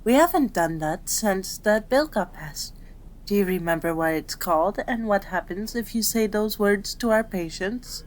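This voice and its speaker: A woman's voice: medium pitch, lively intonation, normal speed, close to an everyday voice. A woman playing a cute, caring fox-spirit doctor in an ASMR roleplay, prim, chiding and easily flustered.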